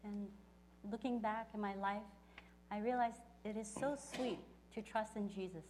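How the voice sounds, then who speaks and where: A woman working as a church interpreter, relaying a testimony phrase by phrase in a halting delivery. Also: mid-range voice, steady tone, background noise. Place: church service.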